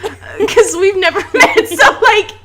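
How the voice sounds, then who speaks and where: A woman's voice: very high voice, lively intonation, slow, very noisy. A woman co-hosting a podcast in casual chat, with an irreverent, teasing, sweary way of talking. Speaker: podcast co-host.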